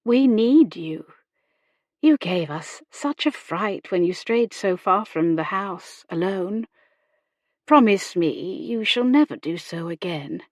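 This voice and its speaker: A caring, genteel young lady in a storybook, acted by a woman narrator. She speaks in formal, reassuring phrases, gentle and protective, in a dry, close sound. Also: medium pitch, animated, takes it slowly, no echo. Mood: caring, relieved. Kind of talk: audiobook character dialogue.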